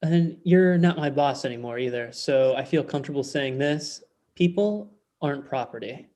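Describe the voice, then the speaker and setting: A man's voice: mid-range voice, normal speed, warm tone, close to an everyday voice. A man plays a cheeky, hapless former employee in his thirties. He reads a comedy script in an everyday voice over a video call. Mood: defiant. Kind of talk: remote table read of a comedy script.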